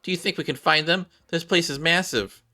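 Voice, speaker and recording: A man's voice: fairly high pitch, fairly quick, pushed and bright, faint music behind, voice pushed up. A man voicing a curious, chatty girl character while reading out a game's dialogue. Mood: doubtful.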